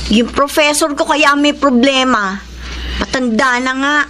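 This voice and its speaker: An actress playing a defensive, petulant college student in a Filipino radio drama, whiny in manner, with a Filipino accent. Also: female voice, sits high, a little slow, fairly bright tone, a little hiss or hum. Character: defensive, petulant college-age younger sister.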